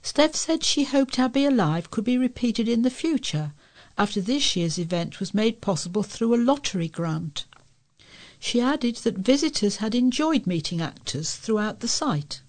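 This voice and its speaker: A woman's voice: a little high, animated, normal speed, darkish tone. A woman, reading scripted local news stories as a volunteer newsreader. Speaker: volunteer newsreader for a talking newspaper.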